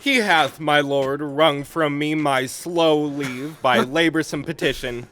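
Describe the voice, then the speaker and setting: A man's voice: on the high side, slow pace, a bit bright, a little hiss or hum. A man playing a fussy, obliging father and counsellor to a king, formal and laboured, in a Shakespearean audio drama.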